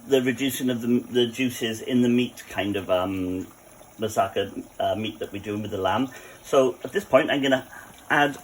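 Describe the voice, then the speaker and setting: A man's voice: mid-range voice, slight background noise, low-quality recording. A man with a Welsh accent who runs a cafe, talking viewers through a cooking tutorial at his home kitchen in a chatty way, with joking asides. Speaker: cafe cook.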